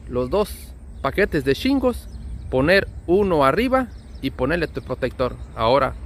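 Mexican male trucker vlogger: high register, very varied intonation, friendly colloquial explainer, light outdoor noise. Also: fairly bright tone. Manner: friendly, colloquial, with diminutives and slang. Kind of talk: casual explanatory vlog. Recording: outdoor ambient and vehicle noise.

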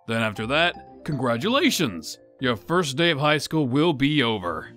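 A man voicing a cheerful, orderly high school teacher who announces and encourages, in an animated roleplay. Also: moderate pace, faint music behind. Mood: cheerful, instructing.

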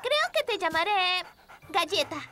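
A woman voicing a kind, cheerful little girl in a dubbed children's cartoon, sweet and expressive. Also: extremely high pitch, measured pace, faint music behind, full character voice. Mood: friendly, cheerful.

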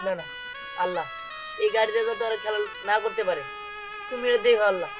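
A woman's voice: medium pitch, fast, over music, natural voice. A woman playing a protective, hardworking brother, plaintive and indignant, in an amateur comic drama. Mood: plaintive, aggrieved.

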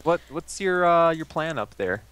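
A man's voice: a little high, animated, noisy background. Chatty, teasing man gamer. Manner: chatty, teasing, quick to laugh. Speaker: let's play co-host. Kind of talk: casual gaming chat.

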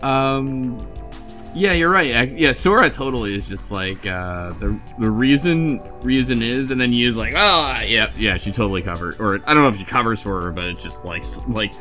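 A man co-hosting a podcast, giving a casual story recap in a halting, self-correcting way. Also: medium pitch, animated, bright, forceful tone. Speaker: podcast co-host. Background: faint instrumental music.

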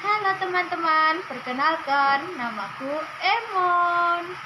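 A woman voicing a kind, protective young monkey in simple childlike talk with drawn-out endings, for a children's puppet story. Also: very high-pitched, animated, background noise, pitched above the performer's own voice, exaggerated voice. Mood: cheerful.

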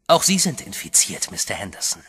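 A vampire master who commands an army of the undead. The voice is low and husky yet bright, measured and cold, dropping to a whisper for threats. Soft music plays beneath. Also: male voice, big pitch swings, heavily acted. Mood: menacing. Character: sinister male vampire master, calm and menacing. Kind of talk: German radio horror drama.

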